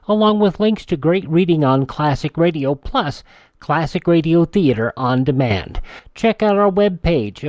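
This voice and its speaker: A man's voice: on the high side, a little mellow, no room sound. A man with an American accent announcing a radio program from a script, as its host.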